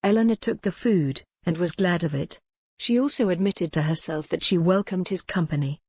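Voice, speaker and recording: A woman's voice: fairly low pitch, normal speed, a little mellow, husky, dry recording. A woman narrating a scripted historical romance audiobook.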